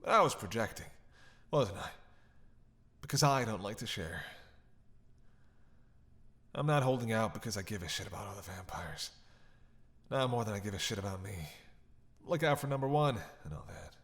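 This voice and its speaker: A man playing a starving captive vampire in an audio roleplay, halting and breathy, weak, wry and desperate. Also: fairly low pitch, expressive, a bit bright. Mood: bitter, intense. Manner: halting, wry, intimate address to listener. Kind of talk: dramatic audio roleplay monologue.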